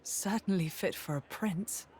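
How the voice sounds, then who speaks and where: A woman's voice: medium pitch, measured pace, a little mellow, close to an everyday voice. A woman voicing a cheerful, curious, polite young woman in a video game cutscene. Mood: impressed.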